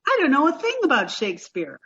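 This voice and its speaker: An actress playing a sweet, naive, dim-witted young woman in an old-time radio sitcom style, earnest and slow on the uptake. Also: high voice, huge pitch swings, gravelly, fairly bright tone, lo-fi sound, exaggerated voice. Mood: naive, chirpy.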